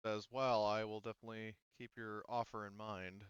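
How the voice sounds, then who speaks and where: A man's voice: lowish voice, flat delivery, moderate pace, smooth, no echo, lo-fi sound. A man running a tabletop game, talking casually as he narrates and improvises as game master.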